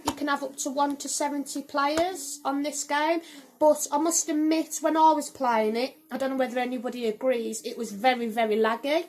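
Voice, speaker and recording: A woman, her voice a little croaky, chatting casually as she reviews her game collection at home. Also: high-pitched. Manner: chatty, rambling, with frequent "um" and "so, yeah". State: slightly croaky voice. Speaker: gaming vlogger. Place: home room.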